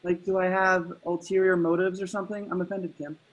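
A man's voice: sits high, little pitch movement, brisk, dull audio. A man playing an awkward, defensive slacker in his twenties at a table read, hesitant and rambling. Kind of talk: table-read acting over video call. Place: remote group video call.

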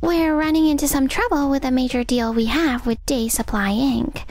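A woman's voice: high-pitched, steady tone, normal speed, a little mellow. A woman voicing a worried, practical young female office worker in a manga dub, speaking frankly. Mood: worried, apologetic. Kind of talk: manga dub character acting.

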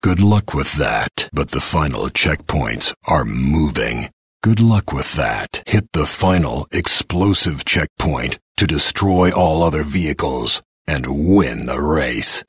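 Very deep, raspy menacing arena announcer. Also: male voice, lively intonation, slow, dry recording, clean studio sound, exaggerated voice. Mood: sardonic. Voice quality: gravelly, raspy. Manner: ominous, sardonic, dramatic delivery. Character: menacing, taunting male arena announcer for deadly car combat. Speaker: game announcer. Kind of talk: theatrical scripted game announcing.

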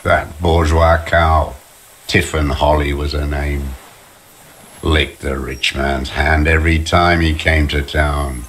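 An actor playing a bitter old communist deserter, slow and contemptuous, in a video game. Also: male voice, very deep voice, level tone, a bit bright, slight background noise, heavily acted. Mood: scornful. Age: older. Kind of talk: dramatic video game voice acting. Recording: music under the voice.

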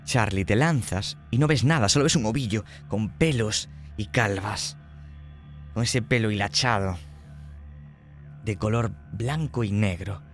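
Low-voiced Spanish game master, dramatic. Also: male voice, a little slow, soft background music. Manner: dramatic, vivid scene-setting. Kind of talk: narrating a tabletop horror game.

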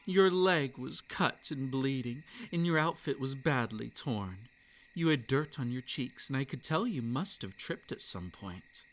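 Man as a dark yandere fox-spirit boy, slow delivery, hushed intimate menace, possessive, ASMR roleplay, crickets in the night. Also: mid-range voice, lively intonation, slight background noise. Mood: hungry, possessive. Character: dark, obsessive yandere kitsune monster boy, gloating and possessive. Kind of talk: roleplay ASMR dramatic monologue. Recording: night insect ambience behind the voice. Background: crickets and night insects.

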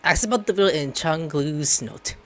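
A man's voice: fairly high pitch, animated, normal speed, music in the background. A man doing casual, offhand gameplay commentary from a room, joking and reading choices aloud. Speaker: gaming streamer. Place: indoor room, webcam setup.

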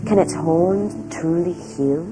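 A woman narrating a documentary from a script in a measured way, often posing questions. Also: on the low side, music in the background, low-quality recording.

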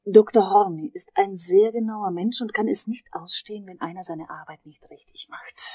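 An actress playing a composed, polite dental assistant in a crime audio drama, with a husky, breathy voice and a soft, measured manner. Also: female voice, mid-range voice, natural voice. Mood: confiding.

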